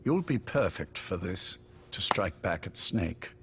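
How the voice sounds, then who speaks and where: Low-voiced, genteel sickly spymaster. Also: male voice, big pitch swings, noisy background, heavily acted. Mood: grave, intense. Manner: urbane, measured, wryly polite. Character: genteel, sickly older British male spymaster, courteous but menacing. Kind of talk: dramatic game voice acting.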